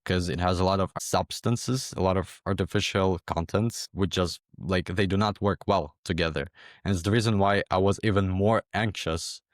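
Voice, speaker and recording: Low-voiced young man from Ukraine. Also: dry recording, clean studio sound. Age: young adult. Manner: hesitant, repeats words, many fillers. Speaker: interview guest, former exam candidate. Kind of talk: casual interview talk.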